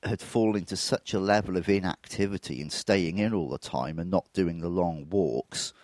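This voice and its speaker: Deep-voiced man with throaty flu. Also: normal speed, darkish tone, dry recording. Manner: reflective, candid, self-deprecating. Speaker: podcast host. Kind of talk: solo podcast monologue.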